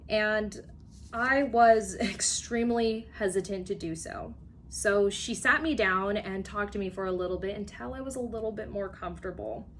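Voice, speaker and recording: A woman's voice: medium pitch, slight background noise. A young woman student giving an earnest personal speech for a class, telling a story with occasional fillers. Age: young adult.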